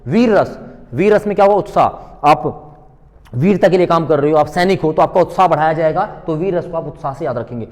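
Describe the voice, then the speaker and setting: Male exam-prep lecturer, slightly high voice, didactic question-and-answer delivery, recorded with long hall reverb. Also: a little fast, darkish tone. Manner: didactic, asks questions and answers them himself, repeats key points.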